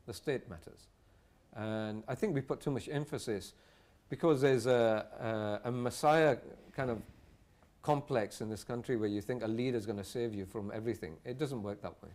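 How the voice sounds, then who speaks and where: Earnest panel guest. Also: male voice, mid-range voice, moderate pace, a little mellow. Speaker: studio guest analyst. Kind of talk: TV panel discussion.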